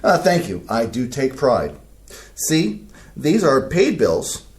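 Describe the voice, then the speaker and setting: A fussy, methodical Midwestern husband, played by a man in a stage reading, his mid-pitched voice rising and dipping in lively swings as he lays things out. He grumbles and takes pride in his tidy ways. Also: slow pace. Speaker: actor in a community staged reading. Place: community theater stage.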